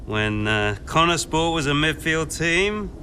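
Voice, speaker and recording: An actor playing an earnest, driven racing team boss in a naturalistic game cutscene, informal and emphatic, sometimes halting. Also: male voice, a little high, expressive, pushed and bright, a little hiss or hum. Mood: proud, wistful.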